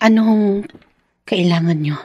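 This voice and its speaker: A woman playing a gentle, dutiful young mother in a radio drama, tender and respectful with a hesitant edge. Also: medium pitch, slow, breathy edge, no echo, highs cut off. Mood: guarded, hurt. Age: young adult.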